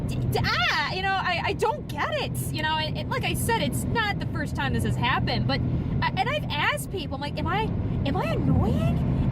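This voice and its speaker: A young woman with a nasal, piercing voice, rambling and self-deprecating in a casual vlog. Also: very high-pitched. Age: young adult. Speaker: vlogger. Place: in a vehicle in traffic. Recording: distant mic with loud traffic noise.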